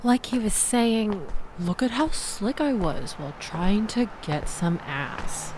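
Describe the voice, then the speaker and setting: Slow-talking, sardonic young woman, played by an actress. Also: medium pitch, lively intonation, noisy background. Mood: mocking, exasperated. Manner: wry, exasperated, wordy, theatrical emphasis with sighs and groans. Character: sardonic young woman venting about a bad date. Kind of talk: intimate audio roleplay, conversational monologue.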